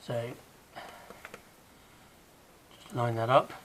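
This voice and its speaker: A man with a croaky voice gives a casual, hands-on how-to while working on an engine in a garage, chatting along and dropping to a mutter as he works. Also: medium pitch, level tone, extremely slow, a bit bright, a little hiss or hum, poor audio. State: croaky, recovering from illness. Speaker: DIY car repair vlogger.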